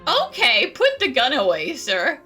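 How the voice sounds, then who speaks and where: A woman's voice: sits high, wildly swooping pitch, measured pace, sharp tone, breathy edge, soft background music, full character voice. An actress playing a cheeky, unimpressed young woman in a video game, with slangy, sarcastic, casual delivery. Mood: flippant.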